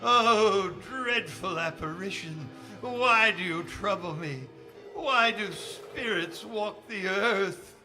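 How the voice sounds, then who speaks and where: A man's voice: high-pitched, slow, pushed and bright, soft background music, full character voice. An actor playing a frightened, crotchety old male miser in a stage radio drama, stammering and quavering between bluster and pleading. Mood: fearful pleading. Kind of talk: radio-style stage audio drama acting.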